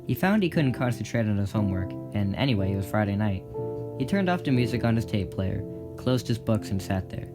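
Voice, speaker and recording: A man's voice: lowish voice, sing-song, fairly quick. A man reading scripted story narration for an audio drama. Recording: prominent guitar music behind. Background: plucked guitar music.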